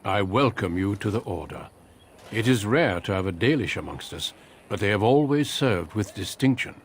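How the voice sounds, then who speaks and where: A man playing a seasoned, steady warrior recruiter in a fantasy game, speaking in a measured, formal and courteous manner. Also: lowish voice, a little hiss or hum, natural voice. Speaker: Grey Warden recruiter. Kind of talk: video game cutscene acting.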